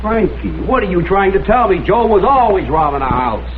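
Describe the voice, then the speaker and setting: A man's voice: high-pitched, big pitch swings, brisk, a little hiss or hum. Tinny vintage tough-guy American photographer. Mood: sardonic, dismissive. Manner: terse, sardonic. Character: tough freelance photographer, wry and hard-boiled man. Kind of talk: 1950s American TV crime drama acting. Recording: tinny, band-limited vintage TV soundtrack with music.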